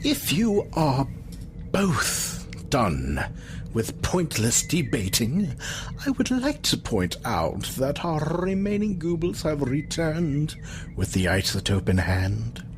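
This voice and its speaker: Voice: medium pitch, very animated, slow pace. An actor playing a condescending, scheming villain in an audio drama with theatrical delivery. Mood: disdainful, gloating. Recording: noisy ambience behind voice.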